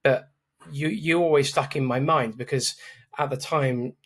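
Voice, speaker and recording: A man's voice: mid-range voice, steady tone, measured pace, breathy edge. A man hosting a podcast, talking casually and restarting his sentences often. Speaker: podcast host. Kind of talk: casual interview chat.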